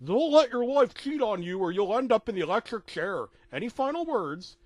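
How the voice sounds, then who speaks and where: A man's voice: sits high, very animated, normal speed, dry recording, pitched above the performer's own voice. A man voicing a stern male school principal acting as executioner, warning in a raised voice. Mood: menacing. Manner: menacing, stern.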